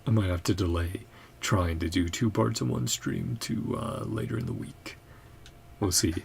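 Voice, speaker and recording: A woman's voice: a bass voice, moderate pace, vocal fry, a little mellow, slight background noise. A woman streamer giving casual game commentary and reading subtitles aloud in murmured, fragmentary asides.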